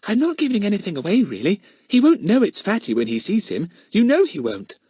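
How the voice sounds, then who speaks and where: A man's voice: high-pitched, huge pitch swings, brisk, a little mellow, slightly rough, dry recording, studio quality, pitched above the performer's own voice, heavily acted. A man voicing an eager, innocent little girl with a piping, earnest manner in a storybook. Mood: hushed, earnest.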